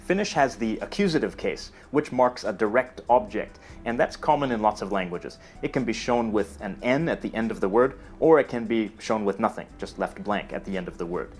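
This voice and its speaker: Guy reading out an explainer script, steady and clear, faint music under it. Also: fairly low pitch, brisk, hardly any pauses. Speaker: narrator of a language explainer video. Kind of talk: scripted educational narration.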